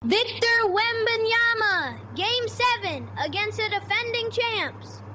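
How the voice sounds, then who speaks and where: A woman's voice: very high voice, animated, slow pace, over loud music. A woman sports correspondent giving dramatic, opinionated commentary with hyperbolic delivery.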